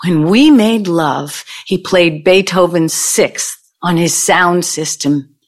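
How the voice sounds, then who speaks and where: A woman's voice: on the low side, takes it slowly. A woman playing a wounded, vengeful older ex-girlfriend in an audio play, speaking in a dramatic, pointed way. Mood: wistful. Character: bitter, wounded, vengeful ex-girlfriend from mogul's college days.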